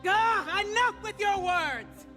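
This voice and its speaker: A woman's voice: very high-pitched, lively intonation, takes it slowly, bright, forceful tone, exaggerated voice. An actress playing a proud, defiant queen in a video game drama, declaiming in an impassioned and commanding theatrical manner. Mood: scornful. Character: proud, defiant queen pleading for her child. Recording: long hall reverb, music behind.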